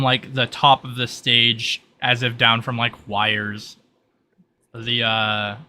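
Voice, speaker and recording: A man improvising narration as a game master for a tabletop roleplay, haltingly, with pauses mid-sentence. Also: medium pitch, bright, forceful tone.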